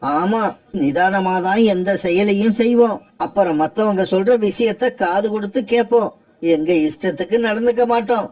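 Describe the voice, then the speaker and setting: A lazy male crow from a children's animated fable, acted by a man. The voice sits high for a male, with a plaintive, sorry-for-himself manner. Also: normal speed. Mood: repentant. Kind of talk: children's cartoon story dialogue.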